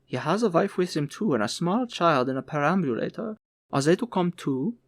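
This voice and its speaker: A man's voice: fairly high pitch, expressive, normal speed, dry recording, clean studio sound, pitched above the performer's own voice. A man voicing a curious, polite young job seeker in an audiobook, with an earnest, questioning manner. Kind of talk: audiobook character voice.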